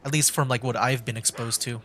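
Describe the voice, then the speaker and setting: A man's voice: medium pitch, steady tone, brisk, fairly bright tone, a little hiss or hum. A young man livestreaming a video game, giving casual commentary in hesitant, trailing phrases. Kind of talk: casual game commentary, reading dialogue choices aloud. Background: game music.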